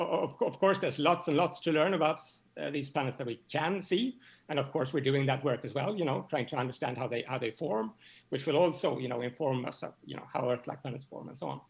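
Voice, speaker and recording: A man explaining science as an interview guest, halting and often repeating words as he goes. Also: fairly high pitch, gravelly. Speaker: astronomy professor, interview guest.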